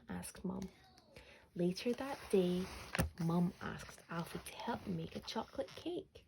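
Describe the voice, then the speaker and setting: Woman reading kids a bedtime story, real mellow voice, expressive, bit of background noise. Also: medium pitch, vocal fry, poor audio. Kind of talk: children's bedtime story narration.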